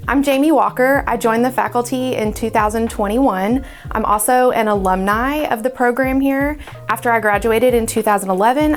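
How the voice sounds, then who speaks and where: A woman's voice: medium pitch, vocal fry. A woman in her thirties introducing herself as a speech pathology professor in an upbeat, eager way. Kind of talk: scripted self-introduction.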